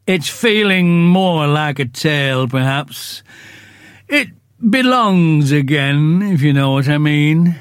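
A man voicing a gloomy old male donkey who speaks slowly and mournfully, full of self-pity. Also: a little high, expressive, smooth, no room sound, studio quality. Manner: slow, drawn-out, self-pitying.

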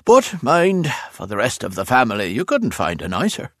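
A man's voice: medium pitch, wildly swooping pitch, moderate pace, a bit bright, no echo, pitched above the performer's own voice, exaggerated voice. A big Irish road labourer, as a male narrator plays him, grumbles with caustic relish. The voice is lifted and lilting, emphatic and garrulous, a storybook character full of grievance, captured in a dry, close sound. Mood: aggrieved, grumbling. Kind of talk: audiobook character voice.

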